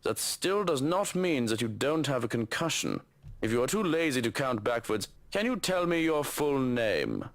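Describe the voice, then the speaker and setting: An actor playing an unruffled, condescending gentleman, formal and dryly teasing, in a visual novel. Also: medium pitch, sing-song, moderate pace. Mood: dry, amused. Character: amused, unruffled, condescending ginger-haired gentleman.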